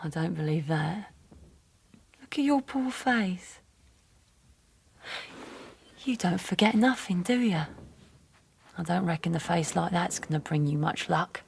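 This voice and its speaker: An actress playing an ageing, weary working-class London prostitute in a radio drama, speaking plainly and colloquially in a coaxing way. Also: female voice, lowish voice, lively intonation, a little slow, creaky, long pauses, a little mellow, husky, full character voice. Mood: knowing, resigned. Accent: London working-class English. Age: older. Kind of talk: naturalistic radio drama acting.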